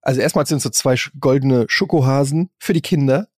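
Male podcast co-host, husky tone, casual storytelling, dry close sound. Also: medium pitch, fast, darkish tone, no room sound. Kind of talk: casual chat, telling anecdotes.

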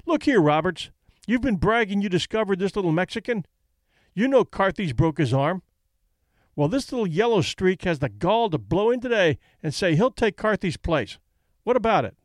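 A man reading an audiobook, voicing a gruff, impatient male fight promoter who snaps and blusters. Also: high voice, sing-song, moderate pace, dry recording, voice pushed up. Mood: brusque. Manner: snapping, blustering.

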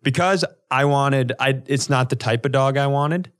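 A man's voice: medium pitch, normal speed. Rambling, profane man telling comic stories. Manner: rambling, profane, animated exaggeration. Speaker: comedy podcast co-host. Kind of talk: casual comic storytelling.

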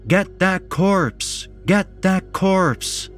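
Man as a bellowing, high-pitched angry rioter. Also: very slow, soft background music, exaggerated voice. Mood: angry, rousing. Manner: shouted, chanting.

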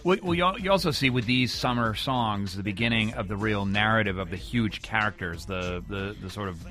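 A man's voice: fairly low pitch, a little fast, sharp tone. A man hosting a conversational music interview on the radio. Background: music playing behind the talk.

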